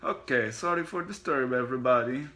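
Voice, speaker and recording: A man's voice: on the high side, a little fast, creaky, slight background noise, voice pushed up. A man voicing a jovial, rotund male utility worker with broken English and a nervous, quavering, obliging manner. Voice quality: broken English, cartoonish plumber-style accent.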